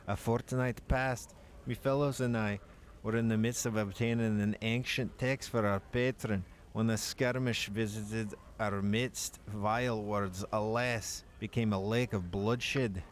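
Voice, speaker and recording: A man voicing a gruff, bloodthirsty male dwarf warrior in a put-on Scottish accent, telling tales in an archaic brogue for a game. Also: on the low side, steady tone, slight background noise, close to an everyday voice. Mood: boastful. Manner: slow, boastful storytelling. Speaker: player reading the dialogue.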